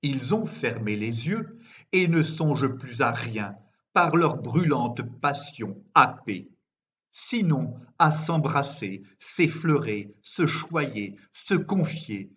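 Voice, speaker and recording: A man reciting poetry in a solemn, declamatory manner, phrasing deliberately with pauses, as a poet reading his own work. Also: mid-range voice, lively intonation, a bit bright, dull audio. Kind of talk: dramatic poetry recitation in French.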